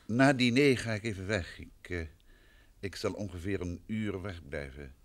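An actor playing a courteous, assured gentleman investigator in an old radio drama, polite and measured. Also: low-pitched, close to an everyday voice. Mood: courteous, brisk.

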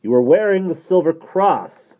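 A man's voice: lowish voice, expressive, moderate pace, pitched above the performer's own voice. A man voicing an emphatic, learned priest who leads an adventure in a storybook, with a commanding, exclamatory delivery. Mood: solemn, earnest. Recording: dull, muffled lo-fi recording.

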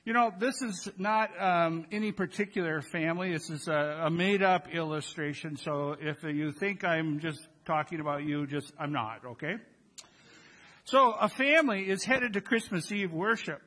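A man's voice: on the high side, moderate pace, sharp tone. A man in his sixties preaching a Christmas Eve sermon in a conversational way, speaking directly to his congregation from the pulpit. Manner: conversational, addresses congregation with rhetorical questions. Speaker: pastor preaching. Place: church sanctuary, at the pulpit. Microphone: gooseneck pulpit microphones.

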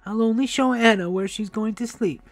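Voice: medium pitch, moderate pace, dry recording, pitched above the performer's own voice, natural voice. A narrator voicing a kindly, chatty old grandmother in an audiobook, motherly and emphatic. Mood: reassuring.

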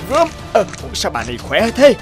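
A man's voice: high voice, wildly swooping pitch, normal speed, music in the background, exaggerated voice. A man voicing a flustered, guilt-ridden middle-aged feng shui master in a folk-tale cartoon, hesitant and stammering. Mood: flustered, nervous.

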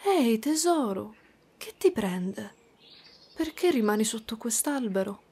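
A woman voicing a gentle, doting spider-woman caretaker in a soft, cooing ASMR roleplay. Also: on the high side, lively intonation, a little slow. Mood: gently concerned. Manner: soft, cooing, tender, sometimes whispering.

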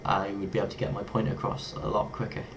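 A man's voice: fairly low pitch, quick pace, background noise. A young man with an English accent, talking playfully to his webcam in a small bedroom. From England. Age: young adult. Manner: playful, lively, toys with foreign words. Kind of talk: casual vlog talk to camera.

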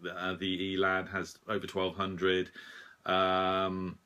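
A man in his forties giving a casual, modest, hesitant update to camera in a small room, sounding slightly rough and husky after a late night. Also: low-pitched, monotone, takes it slowly, sharp tone. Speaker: shortwave radio hobbyist and video host.

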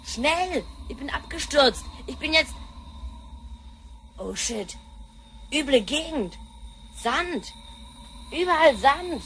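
An actress playing a brash, spoiled, jumpy young granddaughter from the future in a sci-fi radio play, slangy and excitable. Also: female voice, sits high, slow pace, long pauses, slight background noise, full character voice. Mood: alarmed. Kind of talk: sci-fi radio drama acting.

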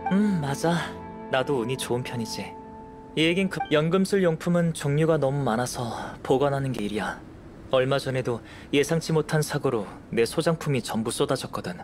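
A man playing a calm, curious young alchemist in an anime game, speaking in a composed, measured, politely detached way. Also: mid-range voice, close to an everyday voice. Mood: calm, matter-of-fact. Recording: studio voice over game music.

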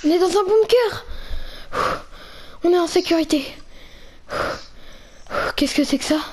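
A woman's voice: extremely high pitch, measured pace, darkish tone. A teenage girl improvising a gaming roleplay, exclaiming and often repeating short phrases. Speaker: player in a Minecraft roleplay video.

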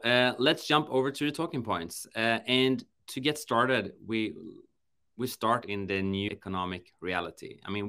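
A man's voice: fairly low pitch, sharp tone. Man hosting a webinar on video call. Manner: measured, friendly moderator with some restarts. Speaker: webinar host. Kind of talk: business webinar conversation. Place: office.

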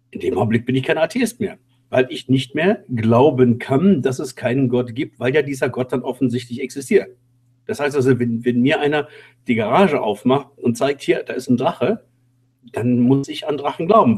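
A man co-hosting an online talk show, explaining spontaneously and haltingly, repeating words for emphasis. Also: mid-range voice, darkish tone, breathy edge. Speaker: co-host. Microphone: online call.

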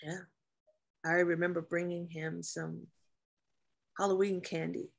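A woman acting a grieving, guarded female naval officer and caretaker at a screenplay table reading, with measured, halting delivery. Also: lowish voice, little pitch movement, gravelly. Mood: fond, sad.

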